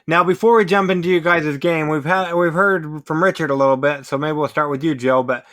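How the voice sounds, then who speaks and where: A man's voice: a little high, fairly even intonation, brisk, a bit bright. A man hosting a podcast, chatting casually and conversationally with his guests. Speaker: podcast host. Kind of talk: casual interview chat.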